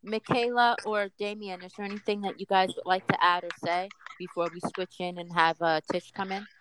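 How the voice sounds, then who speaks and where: A woman hosting a casual group discussion, emphatic and quick to agree. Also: medium pitch, flat delivery, a bit bright, rough recording. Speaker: host.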